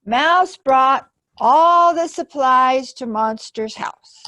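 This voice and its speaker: A woman's voice: sits high, very slow, sharp tone, no echo. A woman hosting a children's storytime, reading a picture book aloud expressively and explaining it simply to young listeners. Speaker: library storytime host and reader.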